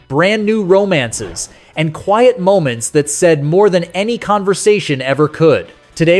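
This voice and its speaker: A man with a slightly high voice hosts a nostalgic countdown. His scripted narration is conversational and sentimental, addressed straight to the listener. Music plays faintly behind him.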